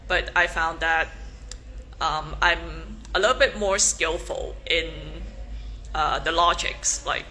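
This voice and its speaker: A young woman from Hong Kong, a native Cantonese speaker, introduces herself on a casual livestream, slowly and with many ums and restarts. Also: deep voice, sharp tone, slight background noise. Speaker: pageant contestant.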